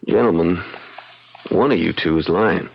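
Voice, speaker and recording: A man playing a young frontier lawyer in an old radio mystery, folksy, shrewd and deliberate as he probes. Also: low voice, vocal fry, husky, full character voice. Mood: pointed, accusing. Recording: dull, band-limited old radio recording.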